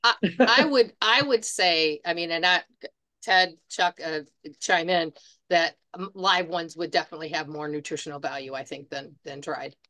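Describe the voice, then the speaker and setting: A woman's voice: lowish voice, moderate pace, sharp tone, rough recording. A middle-aged woman who runs a bird supply store, talking on a video call in a chatty, practical way with many fillers. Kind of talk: casual panel chat. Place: home room.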